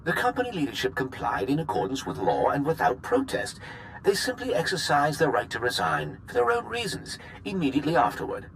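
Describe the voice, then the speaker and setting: Smug, slick corporate executive. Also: male voice, a little high, wildly swooping pitch, fairly bright tone, a little hiss or hum, full character voice, rough recording. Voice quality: suave, sarcastic, drawn-out. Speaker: corporate representative. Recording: game cutscene with music behind.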